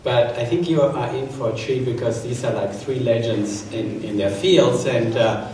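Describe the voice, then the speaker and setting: German guy moderating a talk, kinda halting, far from the mic with faint noise. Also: medium pitch, a little mellow. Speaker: panel moderator introducing speakers. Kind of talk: informal introductory talk to an audience. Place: large hall, town hall event. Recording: echoey hall, distant mic, faint noise.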